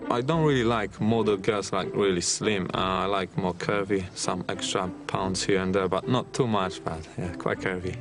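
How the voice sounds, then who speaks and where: A man speaks in a fairly low voice, plain and a bit hesitant. Music plays clearly behind him. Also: fairly even intonation, normal speed, few pauses. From Poland. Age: 30s. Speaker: personal trainer on a dating show.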